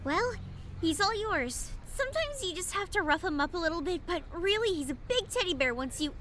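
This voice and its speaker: A scrappy young girl with a very high, animated voice. She is bossy, breathless and cheerfully menacing, like a comic cartoon brat. Noticeable noise runs through the amateur recording. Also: a little fast, heavily acted. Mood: smug. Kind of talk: fan dub comic voice acting.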